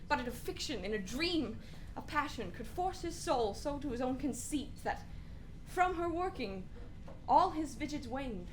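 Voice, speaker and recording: A woman playing an anguished, brooding young prince in a Shakespearean radio play, speaking in halting, deliberate soliloquy. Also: sits high, a bit bright, a little hiss or hum. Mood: self-loathing, anguished.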